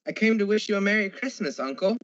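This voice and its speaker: Man playing a cheerful, higher-voiced young nephew. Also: animated, quick pace, no echo, highs cut off. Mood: earnest, cheerful. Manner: warm-hearted, earnest. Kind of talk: radio drama acting.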